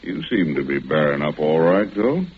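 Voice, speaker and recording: An actor playing a gruff, guarded bricklayer in-law in an old radio drama, terse and needling. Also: male voice, rumbling low, animated, moderate pace, heavily acted. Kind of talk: 1940s radio acting. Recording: tinny, noisy vintage radio.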